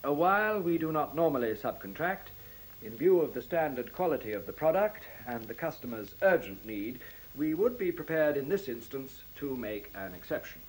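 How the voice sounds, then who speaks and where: An actor playing a genial, busy middle-aged executive in a science fiction radio play, speaking with hesitations and exclamations. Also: male voice, fairly high pitch, very animated, moderate pace, slightly rough, low-quality recording. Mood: busy, businesslike. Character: genial, busy male executive in a future world.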